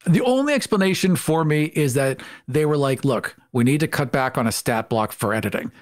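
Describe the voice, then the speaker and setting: A man with a slightly high, very animated voice. He talks casually and pushes his opinions with real emphasis. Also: brisk. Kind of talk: casual tabletop game discussion.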